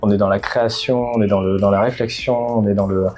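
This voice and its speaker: Hesitant French man, mellow voice. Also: lowish voice, fairly even intonation, fast, faint music behind. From Bordeaux, France. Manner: hesitant, many fillers and restarts. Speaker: startup founder. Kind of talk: interview answers.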